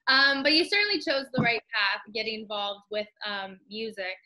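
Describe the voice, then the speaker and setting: A young woman hosts an interview from home over a video call, chatty and upbeat as she asks her questions. Her voice sits high and sounds bright and crisp, and she leans on plenty of fillers. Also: lively intonation, normal speed, poor audio. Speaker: host. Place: small room at home.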